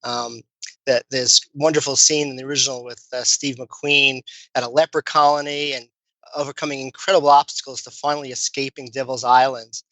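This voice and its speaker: A man in his fifties with a Brooklyn accent, a guest physician speaking in a measured, thoughtful way on a podcast. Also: medium pitch, level tone, pushed and bright, no echo, rough recording. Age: 50s. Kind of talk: podcast interview.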